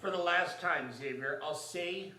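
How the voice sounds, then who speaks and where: A man's voice: on the high side, unhurried, far from the mic, noisy background, natural voice. A man playing an exasperated, stubborn rival shopkeeper with an emphatic, repetitive delivery in a radio drama. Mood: exasperated, defiant. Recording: echoey stage, off from mic.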